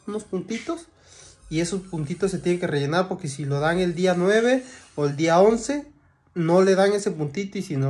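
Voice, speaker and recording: A man's voice: high voice, darkish tone. Hesitant Mexican man, casual vlog. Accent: Mexican Spanish. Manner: hesitant, many fillers and restarts. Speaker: vlogger. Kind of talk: casual vlog talk to camera.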